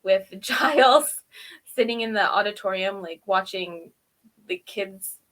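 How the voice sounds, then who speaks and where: A woman's voice: medium pitch, unhurried, a bit bright, rough recording. A woman co-hosting a review show in casual chat, telling stories in an informal, self-deprecating way. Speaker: podcast co-host reviewing TV episodes.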